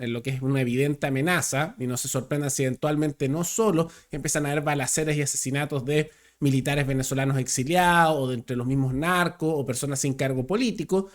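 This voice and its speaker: A man with a somewhat high voice runs through a political commentary monologue. He leans hard on key words and drops dry, ironic asides along the way. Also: fairly bright tone. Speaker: political commentator, channel host.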